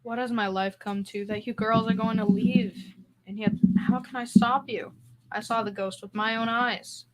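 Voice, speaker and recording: A woman's voice: fairly high pitch, fairly even intonation, normal speed, crowd noise, natural voice, low-quality recording. A young female middle school student playing a meek, mournful male maestro neighbor in an old-time radio comedy, plaintive and hesitant. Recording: faint noise, room ambience.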